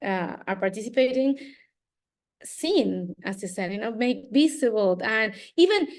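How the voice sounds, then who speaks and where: A woman's voice: medium pitch, animated, a little slow. A woman from Argentina with an Argentine Spanish accent and Spanish as her first language, giving an earnest comment as a doctoral student, searching for words. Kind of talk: audience comment.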